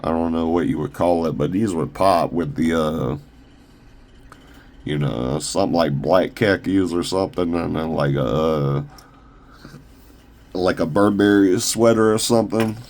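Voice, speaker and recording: Deep-voiced man, rambling and halting. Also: a little mellow. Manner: halting, loose, full of fillers and restarts. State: slightly raspy from long talking. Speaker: independent shoe designer showing his own designs. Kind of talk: casual rambling product showcase. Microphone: phone camera.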